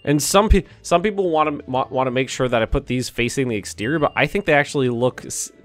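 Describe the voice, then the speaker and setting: A man with a slightly hoarse voice, casually commenting while he plays a city-building game, thinking aloud and cursing now and then. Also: mid-range voice, fast talker, fairly bright tone, soft background music. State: a little hoarse. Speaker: let's play host.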